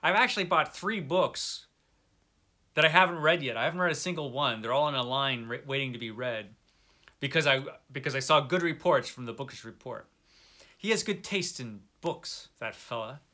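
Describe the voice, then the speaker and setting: A man's voice: medium pitch, bright, forceful tone. A man hosting a book video, chatting casually to viewers in a wry, self-deprecating way. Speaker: host of a book review video channel. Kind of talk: casual chat to camera about books.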